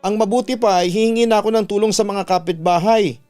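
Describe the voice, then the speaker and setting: A man's voice: sits high, fairly even intonation, fast, darkish tone, faint music behind, pitched above the performer's own voice, natural voice. A man voicing a sassy, caring young male best friend in a radio story, with a chummy, gossipy manner. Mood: panicked.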